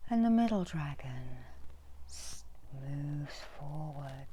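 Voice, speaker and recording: A middle-aged woman giving an ASMR guided meditation as a healer, in a soft, breathy, often whispered voice with soothing pauses. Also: low voice, expressive. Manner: soft, slow, soothing delivery with long pauses. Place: small indoor room.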